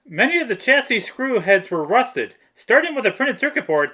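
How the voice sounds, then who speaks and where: A man's voice: very high-pitched, sing-song, normal speed, bright, forceful tone. A man reading scripted how-to narration for a hobby restoration in a methodical, matter-of-fact way. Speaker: hobbyist restorer narrating own repair video.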